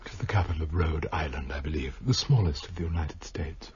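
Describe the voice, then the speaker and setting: An actor playing a morose, terse, learned English detective in a radio drama. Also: male voice, rumbling low, fast talker, a little mellow, husky, heavily acted. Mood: morose, curious. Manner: terse, taciturn. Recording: slightly dull radio recording.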